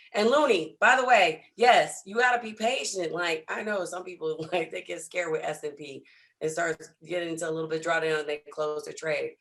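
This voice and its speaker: A man with a hoarse, lost voice, talking in an energetic, hype-filled way to viewers as a trading coach on a live stream. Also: high-pitched.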